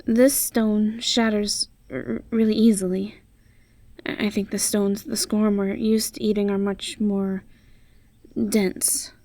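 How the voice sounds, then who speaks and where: A woman's voice: mid-range voice, gravelly, darkish tone. A woman playing a timid, stammering young female student. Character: shy, nervous, kind-hearted young female academy freshman. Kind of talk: amateur fantasy audio roleplay acting.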